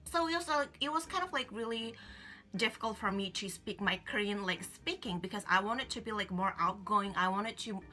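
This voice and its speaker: Bright chatty woman, English. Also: mid-range voice, normal speed. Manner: chatty, many fillers like "like" and "you know". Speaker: vlogger. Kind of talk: casual advice vlog. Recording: faint music behind.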